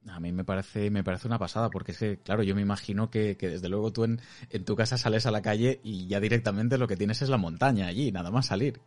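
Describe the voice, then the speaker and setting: A man's voice: fairly low pitch, fast talker, a little mellow. A man hosting an informal, chatty interview, talking casually and encouragingly with his guest. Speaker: interviewer, host.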